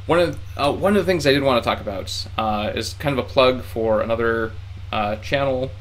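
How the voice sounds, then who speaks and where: A man's voice: medium pitch, moderate pace. Guy in his 30s rambling to his webcam, lots of ums and restarts, kinda worn out from the heat. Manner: rambling, hesitant, many fillers and restarts. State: tired from heat. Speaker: vlogger learning Japanese. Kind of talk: casual talk to camera. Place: small room indoors.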